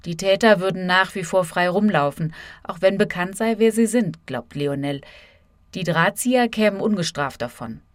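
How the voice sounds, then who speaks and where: Woman, lowish pitch, radio correspondent, scripted feature narration, translated quotes. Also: moderate pace, no echo, clean studio sound.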